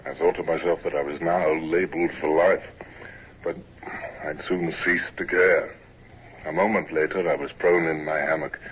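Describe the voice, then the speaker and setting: An actor playing a naval officer who narrates his miserable youth in a measured, reflective way, in a 1950s radio drama. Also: very deep voice. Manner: measured, reflective, grave storytelling. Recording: muffled, noisy vintage radio recording.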